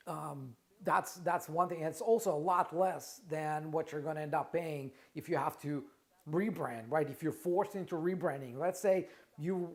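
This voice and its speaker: Russian-accented man, higher voice. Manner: conversational, many restarts and repeated words, asks "right?". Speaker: trademark lawyer and company founder, show host. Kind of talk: live Q&A stream, casual talk.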